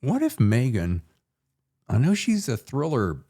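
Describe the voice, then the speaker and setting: A man hosts a casual interview with lots of playful banter. His delivery is very animated, with wide swings in pitch. He comes across as effusive and informal, chatting freely with his guest. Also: unhurried. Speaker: podcast host interviewing an author.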